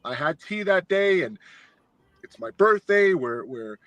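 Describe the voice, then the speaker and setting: A man as a podcast guest, telling a long fan story in a casual, animated, rambling way with lots of filler words. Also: sits high, a little slow, no echo, highs cut off. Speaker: guest, fan sign maker.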